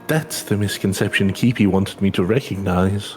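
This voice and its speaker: A man's voice: low voice, moderate pace, lightly acted. A man playing a polite, earnest young adventurer from a video game, formal and humbly reflective. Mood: rueful realization. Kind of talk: video game dialogue read aloud in character. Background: game music.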